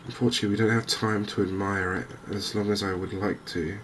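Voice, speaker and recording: A man giving softly spoken, cozy gaming commentary in a gentle, unhurried, musing way. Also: fairly low pitch. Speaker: gaming video host. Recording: clear steady ambient background noise.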